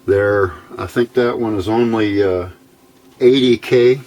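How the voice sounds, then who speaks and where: Male hobbyist presenter, lowish voice, informal how-to delivery with halting, folksy phrasing. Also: a little mellow. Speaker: hobbyist presenter of electronics build videos. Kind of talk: informal technical explanation.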